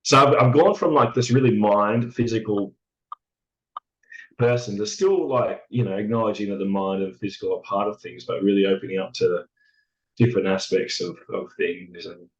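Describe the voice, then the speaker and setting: A man with a hoarse, raspy voice, talking in a halting, reflective way as a podcast guest, full of fillers and restarts. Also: on the low side, dull audio. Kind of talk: casual reflective chat.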